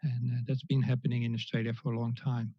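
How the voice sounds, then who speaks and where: Man, 50s, Dutch accent, halting presenter with many fillers, dry close sound, dull call audio. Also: medium pitch, level tone, slightly rough, no room sound. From Netherlands. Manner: hesitant, many fillers and restarts. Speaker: guest speaker. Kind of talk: online presentation talk. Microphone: video call.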